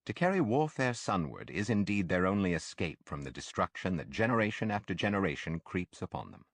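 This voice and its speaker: Deep-voiced man narrating a literary audiobook. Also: dry recording.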